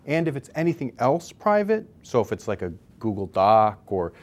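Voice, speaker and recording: A man's voice: mid-range voice, big pitch swings, normal speed, darkish tone. A man in his forties with an American accent, an expert guest giving conversational explanations with emphasis on key words. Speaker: expert guest, civil liberties advocate. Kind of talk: explanatory interview answers. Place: newsroom studio, seated at table. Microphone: lapel.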